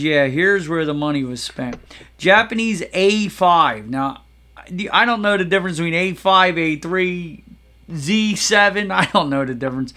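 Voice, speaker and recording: A man's voice: on the high side, pushed and bright. A man hosting a casual chat, joking and stumbling over food names as he reads a menu aloud. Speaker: podcast host.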